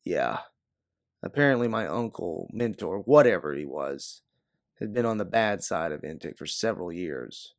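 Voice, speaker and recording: Man reading as a guarded, low-voiced female bounty hunter. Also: animated, moderate pace, no echo, clean studio sound. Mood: bitter, matter-of-fact. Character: guarded, hard-bitten young female bounty hunter, vengeful. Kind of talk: audiobook character dialogue.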